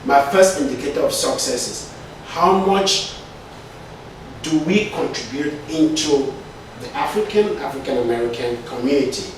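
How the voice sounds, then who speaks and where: A middle-aged man from Cameroon, speaking as an IT consultant and entrepreneur. He pauses often, restarts his sentences and checks in with "right?". His voice is distant and bounces around a very echoey room with faint background noise. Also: fairly high pitch, lively intonation. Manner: hesitant, many fillers and restarts, frequent "right?". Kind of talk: interview answers.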